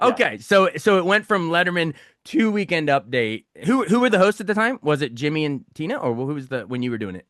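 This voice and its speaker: A man's voice: on the high side, sing-song, fairly quick, few pauses, a bit bright, no echo, clean studio sound. A man around forty hosting a casual interview, chatty and eager, with an enthusiastic manner. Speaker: interviewer, host.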